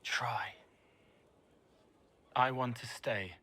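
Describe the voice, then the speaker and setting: A man playing a gentle, compassionate young spirit in human form for a video game, breathy and often whispering in dreamy fragments. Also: mid-range voice, fairly even intonation, full character voice. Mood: pained, pleading. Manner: fragmented, halting, dreamy speech.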